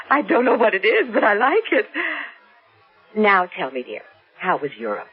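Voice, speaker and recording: An actress playing a genteel, observant older mother with a husky voice in a 1970s radio drama. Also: mid-range voice, wildly swooping pitch, moderate pace, heavily acted. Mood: curious, amused. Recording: tinny, band-limited vintage radio recording.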